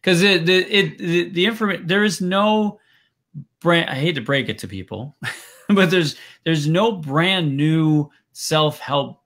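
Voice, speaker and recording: A man's voice: on the high side, animated, measured pace, fairly bright tone. Chatty man with a Wisconsin accent. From Wisconsin, USA. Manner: rambling, restarts sentences often. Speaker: podcast host. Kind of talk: casual interview chat.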